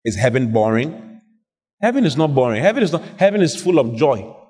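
A man preaching, his voice husky and his pitch swinging widely as he calls questions out to his congregation. The sound carries a long reverb from a large church hall. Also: fairly quick. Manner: animated, call-and-response with the congregation. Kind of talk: lively sermon.

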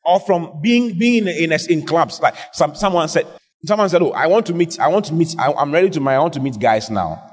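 A man preaching a lively sermon to a congregation, calling out questions and drawing answers back from them. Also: on the high side, fairly quick. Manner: animated, call-and-response with the congregation. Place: church hall. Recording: husky voice in a reverberant hall.

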